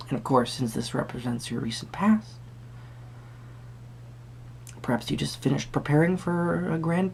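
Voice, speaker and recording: A man playing an eccentric, courtly tarot card reader, speaking softly and politely to the listener with theatrical, rambling musings and murmured asides in a husky, breathy voice. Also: medium pitch, a little hiss or hum. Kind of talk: soft-spoken tarot reading roleplay to the viewer.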